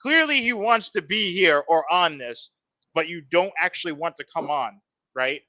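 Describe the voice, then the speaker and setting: Guy hosting a stream panel, bright tense voice, kinda high, dry close mic. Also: animated, no echo. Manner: blunt, profane, quick to vent. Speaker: panel host. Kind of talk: livestream panel chat.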